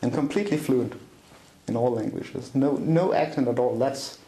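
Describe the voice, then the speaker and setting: Gravelly Danish-accented man, halting. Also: medium pitch, echoey room, a loud crowd. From Denmark. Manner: halting, many fillers and restarts. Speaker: interview guest. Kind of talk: recounting a personal story in an interview. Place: small room indoors, seated at a table.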